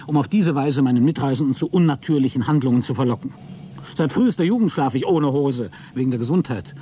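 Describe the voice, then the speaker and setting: An actor playing a prudish, wounded and coldly scheming space traveller, speaking a formal, wordy log in a radio drama. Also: male voice, medium pitch, warm tone, a little hiss or hum, close to an everyday voice. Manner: formal, self-righteous, wordy. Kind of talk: radio drama monologue, spoken log entry.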